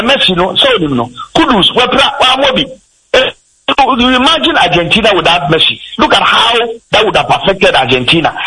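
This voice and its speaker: A man with a Ghanaian accent, a football pundit on a sports talk show, talking in an animated, rambling way and switching between English and Twi. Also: high voice, breathy edge, low-quality recording. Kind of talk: radio sports discussion.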